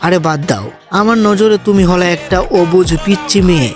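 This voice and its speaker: Guy doing old anime villain, highish voice thru voice changer, boastful n teasing, music playing. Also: expressive, a little fast. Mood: boastful, mocking. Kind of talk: comic amateur anime parody dub.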